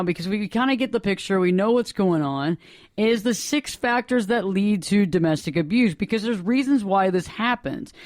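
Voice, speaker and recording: A woman's voice: mid-range voice, no echo. Emphatic woman radio host, dry studio sound. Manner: energetic, emphatic, repeats points. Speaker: radio talk show host. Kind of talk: live radio discussion.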